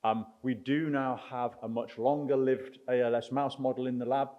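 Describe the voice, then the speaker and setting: A man's voice: mid-range voice, little pitch movement, moderate pace, lo-fi sound. A man answering audience questions after a research talk, careful and hedging with many ums. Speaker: research speaker. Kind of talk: conference Q&A answer. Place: lecture hall.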